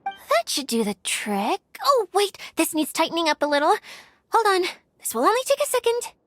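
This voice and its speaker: A woman's voice: very high-pitched, big pitch swings, normal speed, fairly bright tone, no echo, studio quality, full character voice. A woman playing a modest, helpful young costume maker in a game cutscene, polite and self-effacing. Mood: busy, helpful. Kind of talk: animated game cutscene acting.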